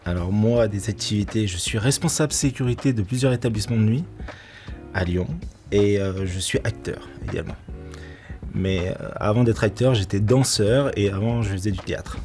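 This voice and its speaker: Middle-aged man, low voice, laughing often. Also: normal speed, a little mellow, soft background music. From Portugal. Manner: laughs often, many restarts and fillers. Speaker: actor and nightclub security manager. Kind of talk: casual interview answers.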